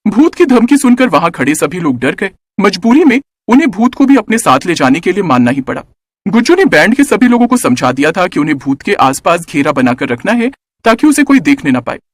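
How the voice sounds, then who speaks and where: A man narrating a children's story in an animated, scripted storytelling style. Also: high voice, fairly quick, no room sound, studio quality.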